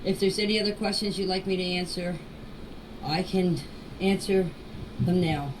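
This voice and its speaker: A woman's voice: lowish voice, fairly even intonation, normal speed. An older woman making an emotional personal statement in voice messages, plain-spoken, pleading and insistent. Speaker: grandmother answering questions in a group voice chat. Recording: distant, noisy amateur voice-message recording.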